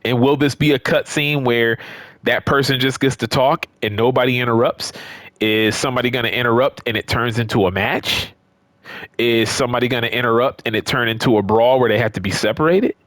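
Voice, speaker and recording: A man's voice: mid-range voice, a little fast, fairly bright tone, no room sound. A man with an American accent giving casual, unscripted opinions as a gaming creator, conversational and repeating points for emphasis.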